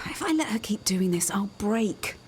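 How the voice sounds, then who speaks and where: A woman plays an anxious daughter in a radio soap, confiding in a mid-pitched voice. She sounds earnest and emotional, over clear ambient noise. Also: animated, measured pace, lightly acted. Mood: troubled, confiding. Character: earnest, anxious daughter breaking free of her mother. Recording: noticeable background noise.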